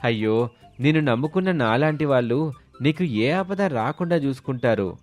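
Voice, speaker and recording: Male narrator acting a scheming village moocher for a cartoon folk tale, wheedling and smooth, faint music bed. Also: mid-range voice, animated, normal speed, close to an everyday voice. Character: sly toddy-loving village man, smooth-talking moocher. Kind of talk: animated moral story dialogue.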